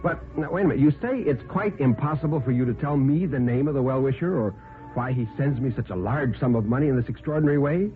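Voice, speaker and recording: A man playing an excitable, bewildered but cautious newspaper reporter, hesitant and polite, in a 1950s radio horror play. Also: medium pitch, fast, voice pushed up, lightly acted. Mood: wary. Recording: tinny, narrow-band 1950s radio recording. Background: background music.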